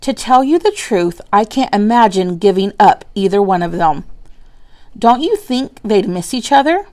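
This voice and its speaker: A woman playing a kindly, sensible woman schoolteacher who is gently firm, in a storybook reading. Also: mid-range voice, animated, normal speed, natural voice. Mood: reluctant.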